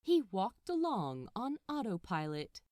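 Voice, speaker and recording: A woman narrating fiction aloud in a dramatic, expressive way with deliberate phrasing. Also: mid-range voice, no echo, clean studio sound. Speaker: podfic narrator. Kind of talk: expressive scripted story narration.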